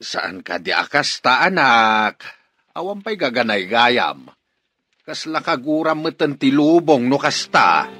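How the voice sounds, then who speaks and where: An actor with a Filipino accent playing a concerned, forceful father in a radio drama. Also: medium pitch, big pitch swings, measured pace.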